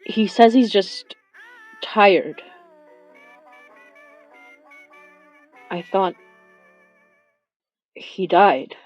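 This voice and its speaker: A woman playing a weary, affectionate young monster hunter confiding haltingly over a phone call in an audio drama. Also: lowish voice, a little mellow, dry recording. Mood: worried, subdued. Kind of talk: intimate audio drama monologue.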